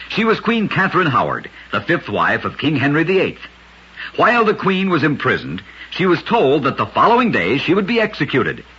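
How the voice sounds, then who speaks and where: Husky old-time radio host guy, super expressive storyteller, kinda forceful, muffled lofi recording. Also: mid-range voice. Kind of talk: dramatic vintage radio scripted narration. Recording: dull lo-fi vintage radio.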